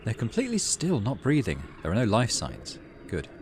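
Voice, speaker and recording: A man with a fairly low voice plays through a game and reads its text aloud. His intonation swings widely as he moves between measured narration and muttered asides. There is clear noise behind him. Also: wildly swooping pitch, a little mellow. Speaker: gaming channel host. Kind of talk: casual game playthrough, reading on-screen text aloud. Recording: noticeable background noise.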